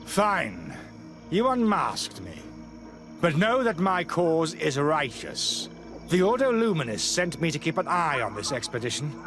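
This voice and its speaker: A haughty, self-righteous priest from a fantasy game, with a fairly high voice that swings widely in pitch. He sounds fanatical and agitated, theatrical in his delivery, with clear music playing behind him. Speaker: game character voice actor. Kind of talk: dramatic video game acting.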